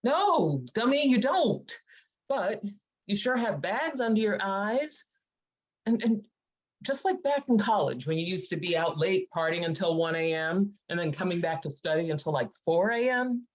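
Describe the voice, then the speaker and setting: Lively, lowish-voiced actress as a playful, teasing female best friend; table-read drama, lo-fi sound. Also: normal speed, close to an everyday voice. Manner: animated, teasing. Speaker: actor in a screenplay table read. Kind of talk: live dramatic script reading. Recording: dull lo-fi audio.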